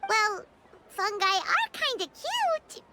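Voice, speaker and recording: A woman voicing a tiny, perky, childlike fairy companion in a video game, with a chirpy, expressive delivery. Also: very high voice, takes it slowly, very noisy, heavily acted. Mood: musing.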